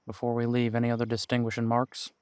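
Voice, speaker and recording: A man playing a seasoned, wry detective who patiently mentors a rookie in an audio roleplay drama, musing aloud in unhurried, folksy phrasing. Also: medium pitch, monotone, darkish tone, smooth, dry recording, close to an everyday voice. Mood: businesslike. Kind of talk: roleplay audio drama, one-sided conversation.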